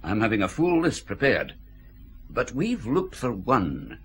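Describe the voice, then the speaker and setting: An actor playing a methodical senior police superintendent, measured and authoritative, in a radio drama. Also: male voice, medium pitch, wildly swooping pitch, husky, full character voice. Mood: authoritative, businesslike.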